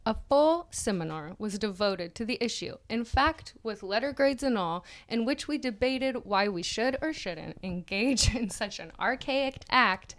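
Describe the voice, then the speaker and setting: A woman's voice: on the high side, lively intonation, unhurried, hardly any pauses. Woman podcaster doing a mocking dramatic read. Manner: mocking, breaks into laughter while reading. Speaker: podcast co-host. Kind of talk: dramatic reading of an article with casual asides.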